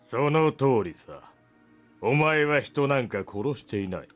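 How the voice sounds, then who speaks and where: A man's voice: medium pitch, lively intonation, moderate pace, soft background music, lightly acted. A man voicing a tall, self-assured, mysterious god in a Japanese visual novel game. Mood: calm, assured.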